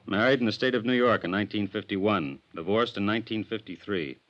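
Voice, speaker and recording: An American actor playing a businesslike police sergeant in a 1950s radio drama, speaking in a clipped, official manner. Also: male voice, on the low side, a little fast, a bit bright, lightly acted. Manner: clipped, official, matter-of-fact. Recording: dull, noisy vintage radio recording.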